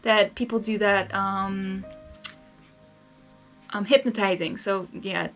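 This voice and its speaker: A woman's voice: mid-range voice, steady tone, takes it slowly, a bit bright, faint music behind. A woman reading a story expressively, dropping in casual asides as she goes. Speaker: fan fiction reader.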